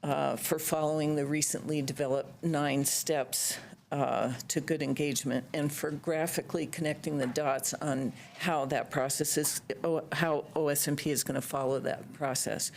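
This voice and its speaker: A woman's voice: sits low, fairly even intonation, normal speed, creaky, slight background noise. A woman speaking for a local bird society, giving an earnest, carefully read public comment at a board meeting. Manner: earnest, reads carefully with some fillers.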